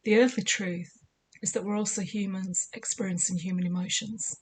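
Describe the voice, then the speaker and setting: A woman with a slightly croaky voice giving spiritual guidance in a measured, soothing, deliberate way. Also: mid-range voice, fairly even intonation, a little hiss or hum. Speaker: spiritual guide. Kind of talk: scripted spiritual guidance talk.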